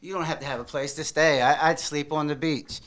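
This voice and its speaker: A man's voice: a little high, fairly even intonation, brisk, fairly bright tone. An American man in his forties, a comedian guest trading casual, bawdy banter. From South Florida, United States. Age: 40s.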